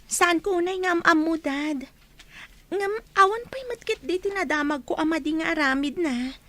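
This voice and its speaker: A woman playing a sweet, modest teenage daughter in an audio drama, speaking in a gentle, polite way. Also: very high voice, moderate pace, dry recording. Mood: curious, respectful.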